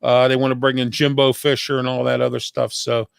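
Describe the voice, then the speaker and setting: A man with a gravelly, creaky voice talks sports casually as a panelist. His mid-pitched voice sounds dry, with no room around it. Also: little pitch movement, brisk, dry recording. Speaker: sports talk show panelist.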